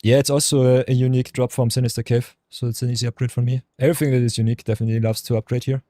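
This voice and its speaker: Male game streamer, deep voice, easygoing chatter with chat, mutters to himself mid-play. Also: flat delivery, very fast, mellow, dry recording, studio quality. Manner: chatty, talks to chat viewers, hums and mutters while thinking. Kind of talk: casual live-stream chat over gameplay.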